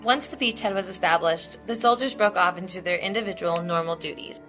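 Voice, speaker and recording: A woman's voice: on the low side, brisk, a bit bright, faint music behind. A woman reading scripted documentary narration.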